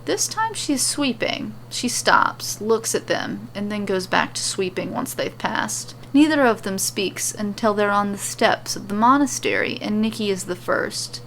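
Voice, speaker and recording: A woman's voice: mid-range voice, measured pace, few pauses, a bit bright. A woman narrating a serial fan-fiction story as its host. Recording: clearly noisy recording.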